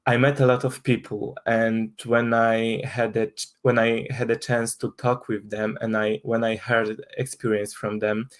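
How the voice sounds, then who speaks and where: A man's voice: mid-range voice, level tone, a little slow, few pauses. A young man from Poland, speaking English with a Polish accent as a guest in an interview, hesitant with many restarts and fillers. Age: young adult. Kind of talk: casual interview talk.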